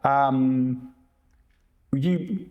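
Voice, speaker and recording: A man with an ordinary mid-range voice, hosting a relaxed interview. He keeps encouraging his guest with short affirmations and asks his questions hesitantly, searching for words. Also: mid-range voice, extremely slow, phone-quality sound. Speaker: podcast host. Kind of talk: casual interview.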